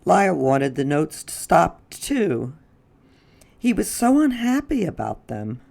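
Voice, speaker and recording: Picture-book narrator, mid-pitched with gravelly fry, expressive and deliberate read-aloud delivery. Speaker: storyteller.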